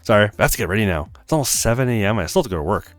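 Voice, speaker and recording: A man's voice: fairly low pitch, lively intonation, racing, dry recording, faint music behind, voice pushed down, lightly acted. A man reading the part of a conscientious, pensive young man, the protagonist of a visual novel. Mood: busy, polite.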